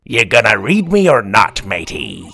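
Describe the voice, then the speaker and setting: A man voicing a gruff, bossy pirate storybook that talks in pirate slang and growls threats, read close and dry. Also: fairly low pitch, wildly swooping pitch, unhurried, sharp tone, full character voice.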